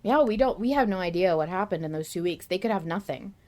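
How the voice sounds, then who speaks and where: Earnest woman podcaster with a lowish voice. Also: lively intonation, fast talker, a little mellow. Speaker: podcast host. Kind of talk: casual fan discussion of an audio drama.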